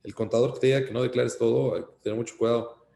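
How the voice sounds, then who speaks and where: A man with a Mexican accent giving an informal instructional talk as an accountant, explaining things in a cautionary, halting way. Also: medium pitch, steady tone, creaky, mellow. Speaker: accountant giving tax advice. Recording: roomy, echoing sound.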